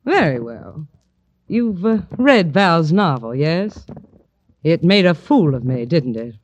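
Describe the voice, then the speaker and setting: Languid society hostess, swooping delivery. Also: female voice, sits low, very animated, takes it slowly, dull audio, full character voice. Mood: morbidly amused. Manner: arch, coy, drawling. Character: languid, haughty, morbidly amused society hostess woman. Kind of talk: 1950s radio drama acting.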